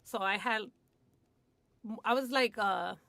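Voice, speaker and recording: A woman from Hollywood tells her own story as a guest in a radio studio. She is chatty and candid, with many fillers, and her voice sits in an ordinary middle pitch. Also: takes it slowly, creaky, fairly bright tone, no echo. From Hollywood, USA. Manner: chatty, lots of "like" and "you know", laughs often. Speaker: guest in recovery.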